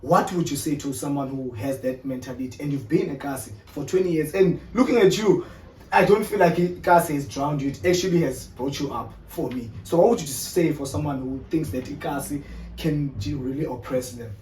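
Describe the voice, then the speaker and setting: A man hosts a casual conversation, relaxed and chatty, often cutting in with quick affirmations. His voice sits a little high and sounds distant from the mic in an echoey room with faint background noise. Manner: relaxed, frequent filler affirmations. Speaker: host. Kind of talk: casual interview chat.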